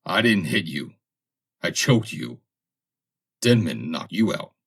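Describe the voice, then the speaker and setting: An angry, defiant sailor as acted by a male audiobook reader. He blusters and yells accusations in a raised, slightly husky voice, swinging sharply in pitch. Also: sing-song, slow, heavily acted. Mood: defiant, accusing. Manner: yelling, blustering. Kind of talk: audiobook character acting.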